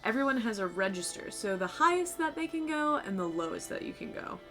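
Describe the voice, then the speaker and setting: A young woman streamer with a low, fried voice, chatting casually with her viewers in a rambling, loose way, her voice a little tired and sore. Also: very animated, a little fast, a bit bright. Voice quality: low voice with vocal fry. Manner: rambling, talks to chat, swears casually. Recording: faint music behind.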